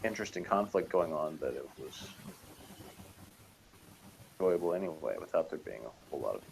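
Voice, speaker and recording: A man's voice: a bass voice, big pitch swings, fairly quick, creaky, a little hiss or hum, low-quality recording. A man co-reviewing a TV series in casual, unscripted conversation.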